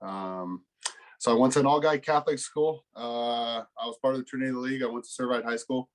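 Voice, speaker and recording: American male athlete, twenties, average pitch, casual interview delivery with an easygoing, pause-filled manner. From California, United States. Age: 20s. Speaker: college football player, interview guest.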